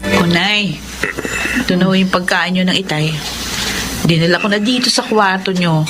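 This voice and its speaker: An actress playing a gentle, respectful daughter caring for her sick parents, in a radio drama. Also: on the low side, moderate pace, over loud music, lightly acted. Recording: very hissy old radio recording.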